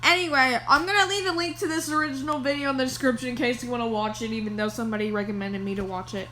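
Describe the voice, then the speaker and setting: A woman's voice: fairly high pitch, racing, bright, forceful tone, slight background noise. A woman hosting a reaction video, chatting casually and reading on-screen text aloud.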